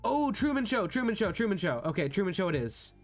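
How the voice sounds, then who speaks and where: A man's voice: sits high, big pitch swings, fast. A man streaming a voice acting practice session, chatting informally and thinking aloud, often repeating his words. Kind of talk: casual livestream chat. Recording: soft background music behind voice. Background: background music.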